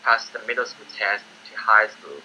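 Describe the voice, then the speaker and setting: A teenage boy from China, speaking his second language, who gives hesitant interview answers in simple, halting English. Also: on the low side, animated, pushed and bright, a little hiss or hum, poor audio. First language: Chinese. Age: teens. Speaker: student applicant.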